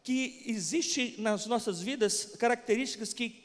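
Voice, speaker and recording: A man with a Brazilian Portuguese accent interpreting a sermon, eager and quick with frequent restarts. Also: very high-pitched. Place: church hall. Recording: long church hall reverb.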